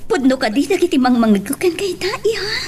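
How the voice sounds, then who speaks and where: An actress playing a gentle, doting middle-aged mother in an Ilocano radio drama. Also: extremely high pitch, big pitch swings, quick pace, a little hiss or hum. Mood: tender.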